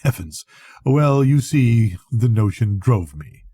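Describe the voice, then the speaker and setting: An older man reads a literary story in a very dark, soft-edged voice with a husky grain. He speaks like a grandfather at bedtime, conversational and expressive, letting phrases breathe. The sound is dry and close, with no room around it. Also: mid-range voice, slow pace, dry recording. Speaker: grandfather reading bedtime stories. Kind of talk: expressive literary audiobook reading.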